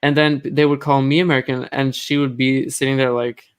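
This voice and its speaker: A man with a Norwegian accent, a native Norwegian speaker, hosting a casual live stream in a relaxed, joking way with some hesitations. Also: mid-range voice, brisk, clean tone. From Norway. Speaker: host.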